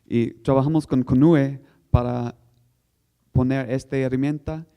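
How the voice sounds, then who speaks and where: A man from the United States with an American accent, giving a technical presentation in a second language, halting as he searches for words. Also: mid-range voice, steady tone, smooth, dull audio. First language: English. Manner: halting, searching for words in non-native speech. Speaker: guest speaker at a technical workshop. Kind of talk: instructional presentation.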